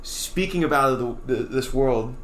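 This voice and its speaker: A man's voice: mid-range voice, normal speed, roomy sound, a little hiss or hum. A man co-hosting a podcast in casual chat, rambling with many restarts. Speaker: podcast co-host.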